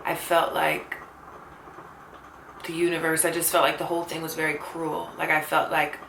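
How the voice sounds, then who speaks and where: A woman's voice: low voice, little pitch movement, moderate pace, gravelly, a bit bright, roomy sound, a little hiss or hum, poor audio. A woman in her twenties talking candidly to her camera, earnest and reflective, often saying "like" and "you know.". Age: 20s. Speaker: vlogger. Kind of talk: candid personal talk to camera. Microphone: camera mic.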